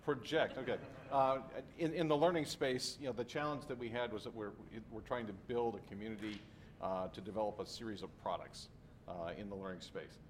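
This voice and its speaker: A man in his forties summarizing a session at a conference into a handheld mic, halting with many fillers and restarts. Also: lowish voice, fairly even intonation. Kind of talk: impromptu summary talk. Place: large conference room. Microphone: handheld microphone. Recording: very echoey room with noise.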